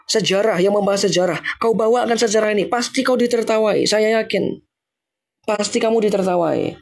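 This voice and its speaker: A young Indonesian man talks informally to his livestream viewers, repeating his points and mocking the other side. His voice sits high and carries a gravelly rasp. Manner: emphatic, repetitive, mocking.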